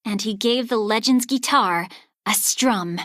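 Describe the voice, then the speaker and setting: A woman narrating a children's storybook aloud in an expressive, scripted read-along style. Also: fairly high pitch, measured pace, no echo, studio quality.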